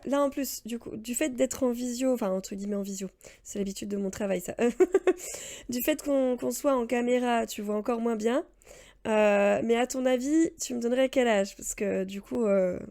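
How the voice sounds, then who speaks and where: A woman in her late thirties streams a game and chats loosely with her viewers, wandering between topics with plenty of fillers and laughter. Her voice sits a little high but has a soft, mellow tone. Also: gravelly. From France. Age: 30s. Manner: rambling, chatty, laughs often, answers chat. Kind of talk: casual let's-play chat.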